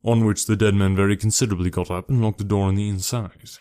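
Man acting a keen male detective, fairly low voice, quiet, dry-humoured, precise, instructive, storybook reading, very little room sound. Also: fairly even intonation, fast talker, clear, resonant tone, no room sound, natural voice. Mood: dry, composed.

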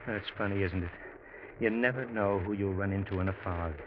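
An actor playing a slick, tough American racketeer in an old-time radio drama. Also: male voice, low-pitched, normal speed, close to an everyday voice. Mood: breezy, flirtatious. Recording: muffled, noisy old radio recording.

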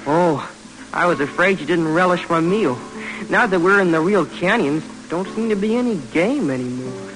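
A wistful young camp cook in an old radio drama. He talks in a folksy, chatty way with a fairly high voice, over music on a vintage recording. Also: lively intonation, moderate pace, rough recording. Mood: deferential, uneasy. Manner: folksy, rustic colloquial talk. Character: chatty, wistful boy cook on river expedition.

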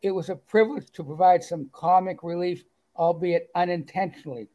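A man's voice: high-pitched, fairly even intonation, brisk, mellow. A man with a Boston accent, an author guest reading his own story aloud and chatting with good humor. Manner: good-humored, self-deprecating, with fillers. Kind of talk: reading aloud and casual interview chat.

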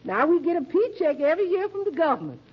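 An actress playing an earnest, whiny, simple farm-raised country character in a 1940s radio comedy. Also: female voice, extremely high pitch, big pitch swings, quick pace, a crowd in the background. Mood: earnest, plaintive. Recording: muffled old radio recording, faint noise.

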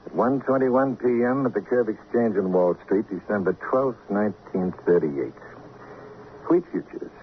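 An actor playing a glib, eccentric, well-paid lawyer in an old radio crime drama, fussy, whimsical and smugly evasive. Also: male voice, lowish voice, moderate pace, natural voice. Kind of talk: mid-century radio crime drama acting. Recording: tinny, hissy old radio recording.

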